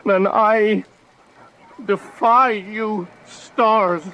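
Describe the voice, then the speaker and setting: A man's voice: high-pitched, steady tone, very slow, highs cut off, heavily acted. An actor playing a lovesick, impulsive young nobleman in a declamatory, poetic Shakespearean radio drama. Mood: stunned, desperate. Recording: faint background noise.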